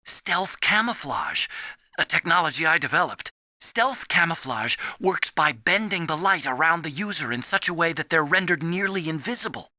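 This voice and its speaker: An actor voicing a timid, soft-hearted young male scientist in a video game, earnest and anxious as he explains things. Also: a little high, sing-song, moderate pace, no room sound, heavily acted. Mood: urgent, explaining.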